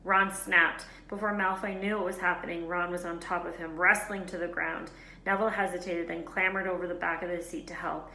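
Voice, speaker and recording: Bright-toned woman teacher reading aloud. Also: fairly low pitch, brisk. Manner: lively, expressive reading with small self-corrections. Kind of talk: storybook read-aloud narration. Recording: roomy echo, faint background hiss.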